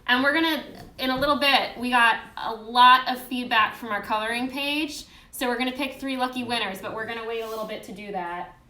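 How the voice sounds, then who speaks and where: A woman with a fairly high voice hosts a casual live stream from a roomy, echoing space. Her tone is bright and forceful. She talks to viewers in a chatty, affectionate way. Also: fairly quick, hardly any pauses. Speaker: host and children's book author. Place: indoors in a room, live-streamed. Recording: echoey room.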